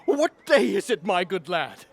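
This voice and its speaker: Reformed old miser for audio drama: effusive, courteous, highish voice, very animated melody. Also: male voice, measured pace, vocal fry, exaggerated voice. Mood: giddy delight. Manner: exclamatory, effusive, old-fashioned courtly speech. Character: reformed old miser, giddy, generous and humble.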